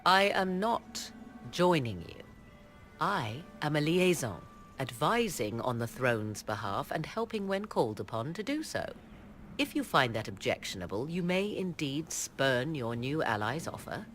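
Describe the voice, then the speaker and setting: A woman playing a haughty, enigmatic court sorceress in a video game, speaking in a formal, deliberate, aloof manner. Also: sits low, very animated, fairly bright tone, faint music behind, exaggerated voice. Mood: imperious. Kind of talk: dramatic game voice acting.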